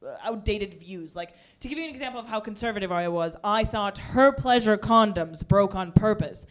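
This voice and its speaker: A woman in her twenties from Russia, doing a stand-up comedy set with a deadpan, ironic delivery and quick asides. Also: medium pitch. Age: 20s. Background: audience laughter.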